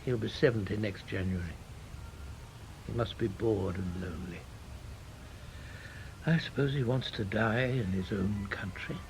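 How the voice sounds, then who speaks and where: An actor playing an elderly, reserved English intelligence official in a radio drama, formal, measured and dryly evasive. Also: male voice, fairly low pitch, creaky, slight background noise, low-quality recording. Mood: wistful, measured.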